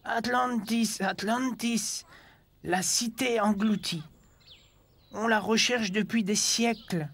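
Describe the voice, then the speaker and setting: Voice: medium pitch, fairly even intonation, slow, a bit bright. Grumbling old cartoon grandfather played by an actor. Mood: intrigued, explaining. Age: older. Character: grumpy, absent-minded old grandfather animal. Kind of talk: French cartoon dubbing.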